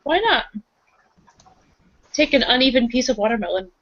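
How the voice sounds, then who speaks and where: A woman with a slightly high voice improvises casually in a roleplaying game. She sounds conversational. The recording is dry, with little room sound, and somewhat muffled. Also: expressive, normal speed, fairly bright tone, dry recording. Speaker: tabletop roleplaying player. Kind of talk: casual improvised in-character chat. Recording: dull lo-fi, close and dry.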